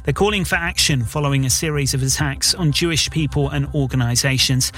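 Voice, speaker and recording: A man reading the hourly radio news bulletin in a measured, formal way. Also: medium pitch, a bit bright. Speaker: radio newsreader.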